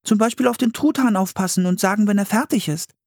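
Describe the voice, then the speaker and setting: A man voicing an eager, tender-hearted young girl with an excitable, expressive manner in a children's audiobook. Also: high-pitched, fast, no room sound, studio quality, voice pushed up. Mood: earnest. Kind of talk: audiobook children's story character voice.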